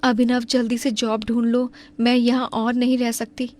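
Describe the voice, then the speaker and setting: A woman as a high-voiced, aggrieved young wife. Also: flat delivery, normal speed, a little mellow, close to an everyday voice. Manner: earnest, emphatic. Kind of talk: Hindi cartoon story acting.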